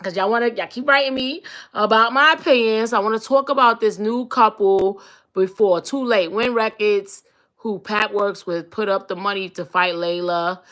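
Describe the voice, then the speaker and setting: Brash New York woman with a bright voice. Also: mid-range voice, normal speed. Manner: blunt, profane, sassy. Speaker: live-stream host. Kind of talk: casual live-stream chat reading comments.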